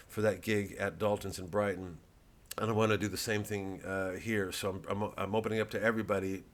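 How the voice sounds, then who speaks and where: A man's voice: fairly low pitch, fairly bright tone. A man chatting casually to camera to promote his show, nervous and rambling, self-mocking, with many restarts. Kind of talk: casual direct-to-camera chat.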